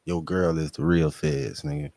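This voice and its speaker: A man's voice: extremely low pitch, sing-song, moderate pace, creaky, a little mellow, slight background noise. A man co-hosting a reaction show, chatting casually in profane street slang. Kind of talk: casual chat.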